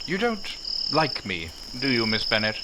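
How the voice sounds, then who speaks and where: Proud, lively-voiced young gentleman. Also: medium pitch, measured pace, heavy background noise. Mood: earnest, smitten. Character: proud, haughty young English gentleman, smitten despite himself. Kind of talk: 1940s radio drama acting.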